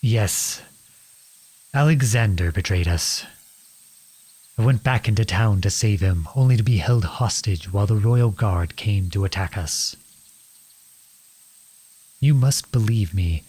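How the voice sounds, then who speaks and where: A man playing a noble runaway outlaw prince in a dramatic audio roleplay, earnest and imploring, with a husky voice. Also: lowish voice, measured pace, long pauses, darkish tone. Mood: confessional, remorseful. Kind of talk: dramatic ASMR roleplay acting.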